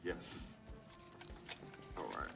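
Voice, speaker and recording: An actor playing a humble young male basketball rookie in a sports video game, speaking softly and slowly with easy laughs. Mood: easygoing. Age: young adult. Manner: soft-spoken, slow, laughs easily. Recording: distant mic with music behind.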